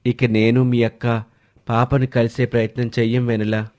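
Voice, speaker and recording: A man voicing a gentle, apologetic brother-in-law with a conciliatory manner in a family story. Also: medium pitch, level tone, moderate pace, natural voice. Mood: remorseful.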